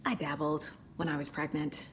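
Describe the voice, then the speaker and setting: A woman playing a level-headed investigator in a game, measured and questioning. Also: low-pitched, level tone, slight background noise, close to an everyday voice. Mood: composed. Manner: measured, questioning, muttering to herself. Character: focused, level-headed adult female investigator.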